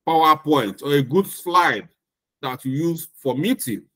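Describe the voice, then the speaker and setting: Slow-speaking Nigerian man lecturing. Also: a little high, animated, fairly bright tone. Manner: slow, deliberate, with emphatic repetition. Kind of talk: recorded lecture.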